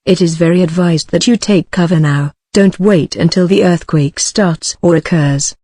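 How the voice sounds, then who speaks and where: Casting: text-to-speech alert announcer, medium pitch, very dry sound, scripted warnings. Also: moderate pace, darkish tone, dry recording. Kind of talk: scripted emergency broadcast announcement.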